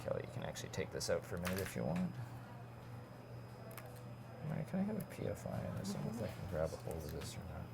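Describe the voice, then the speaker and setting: A man working as a dentist who calmly explains each step of a procedure to his patient and the viewers as he works. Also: deep voice, fast talker, vocal fry, pauses often, very noisy. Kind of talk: narrating a dental procedure.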